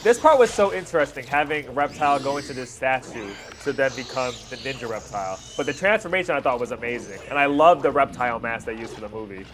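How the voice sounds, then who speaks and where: A man's voice: mid-range voice, expressive, fast, hardly any pauses, noisy background. A man hosting a reaction video, commenting on fight scenes in casual slang with hyped exclamations. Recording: music behind the voice.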